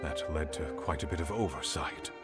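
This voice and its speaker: An actor playing a formal, grave knight of a royal court in a video game, with a dramatic delivery. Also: male voice, rumbling low, normal speed, over loud music. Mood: courteous, grave. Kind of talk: scripted video game character dialogue.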